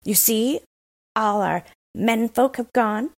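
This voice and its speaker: A woman's voice: a little high, slow, pitched above the performer's own voice. A woman reading a storybook, voicing a fierce, blunt young robber girl who talks in a bossy way.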